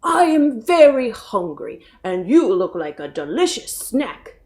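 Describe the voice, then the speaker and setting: A woman voicing a big, proud, hungry male lion for a children's storybook reading, gruff and booming. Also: big pitch swings, a little slow, darkish tone.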